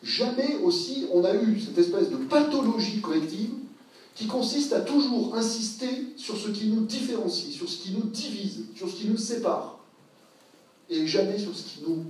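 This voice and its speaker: A man's voice: high-pitched, a little mellow. Hesitant then fervent man in a hall. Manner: thinking aloud with many hesitations, rising to passionate emphasis. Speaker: speaker at a public talk. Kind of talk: public talk, reflective and improvised. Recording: very echoey hall from far off.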